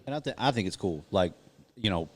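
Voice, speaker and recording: A man on a podcast talks in a relaxed, rambling way to his guests. His voice is low and deep. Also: a little fast, slight background noise. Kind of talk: casual podcast chat.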